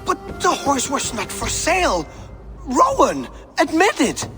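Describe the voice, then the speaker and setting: A man's voice: very high voice, huge pitch swings, measured pace, in a large hall, music in the background, heavily acted. A man playing a vain Norse poet in a video game, speaking in a flowery, indignant and dramatic manner. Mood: protesting. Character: vain, theatrical Norse poet, defending himself with grandiose flourishes.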